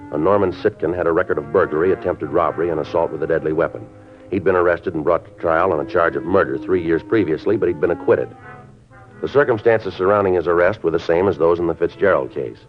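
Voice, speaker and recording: A man acting a terse, stoic police detective, speaking in a clipped, matter-of-fact deadpan in 1950s radio drama style. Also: sits low. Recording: dull vintage radio recording.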